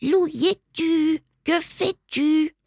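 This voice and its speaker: A small boy goat from a storybook, acted out by a woman reader, pitched very high and childish. He is whiny and demanding, with a lively, swooping delivery. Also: a little slow, no echo, studio quality. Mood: boastful, singsong. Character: bratty, demanding, tearful little male goat kid.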